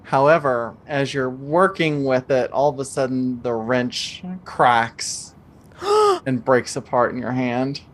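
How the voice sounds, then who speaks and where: A woman's voice: very deep voice, big pitch swings, slow pace. A woman improvising narration as game master of a tabletop game, speaking in a casual, conversational way.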